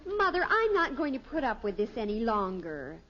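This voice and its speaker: A dramatic American teenage daughter with a high, swooping voice. She is emphatic, quick to indignation and scheming, in 1950s radio sitcom style, heard on a muffled old recording. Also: wildly swooping pitch, a bit bright, full character voice. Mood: indignant. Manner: emphatic, exclamatory.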